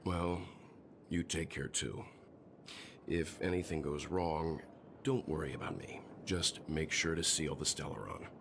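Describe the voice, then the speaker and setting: A stoic game hero of mature years. The voice is extremely deep, grave and deliberate, with a calm readiness to sacrifice himself. Clear ambient noise sits behind it. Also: male voice, exaggerated voice. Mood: calm resolve. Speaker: video game character voice actor. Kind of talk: dramatic game dialogue.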